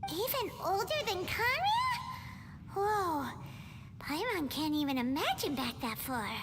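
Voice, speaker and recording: A woman voicing a small, excitable, childlike fairy companion in a video game, chatty, curious and easily startled. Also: very high voice, takes it slowly, very noisy, heavily acted. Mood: amazed, startled. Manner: exclamatory, refers to self in third person.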